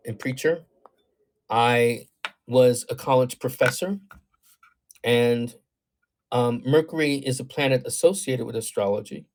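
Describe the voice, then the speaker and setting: A man giving astrology readings on a livestream, talking in a thoughtful, wandering way with many fillers, reading viewer comments aloud and reacting to them. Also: mid-range voice. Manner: meandering, thoughtful, many ums and you-knows. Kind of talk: livestream talk answering chat.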